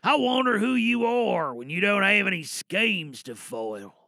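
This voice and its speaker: A man's voice: sits high, big pitch swings, unhurried, gravelly, pushed and bright, dry recording, studio quality, full character voice. A man playing a flamboyant, gloating supervillain in an audio drama monologue, savoring each phrase and secretly smitten with a captive hero. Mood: taunting, obsessed.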